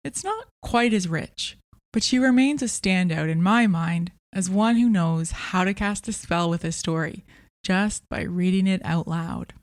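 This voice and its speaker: A woman's voice: fairly low pitch, measured pace, dry recording, clean studio sound. A woman hosting a review podcast, speaking in a scripted narration style. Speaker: podcast host and audiobook reviewer.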